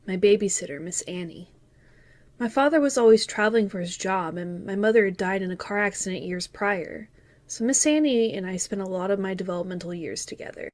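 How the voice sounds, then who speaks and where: A woman's voice: medium pitch, fairly quick, a little mellow, natural voice. A woman playing a grown-up wistfully recalling childhood, narrating a scripted first-person story in an earnest, reflective way.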